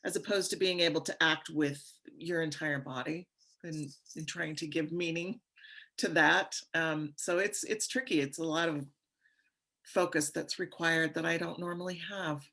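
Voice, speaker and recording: A woman chatting casually as an actress on a panel, in a halting, thoughtful way. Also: sits low, steady tone, sharp tone. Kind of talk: casual chat.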